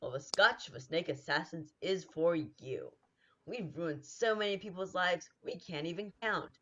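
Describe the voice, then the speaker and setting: A woman's voice: fairly low pitch, animated, moderate pace. A woman playing a cheerful salesy advertiser in a parody old-time radio commercial, on dull lo-fi audio. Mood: cheerfully salesy. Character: cheerful pitch-making assassin-for-hire advertiser.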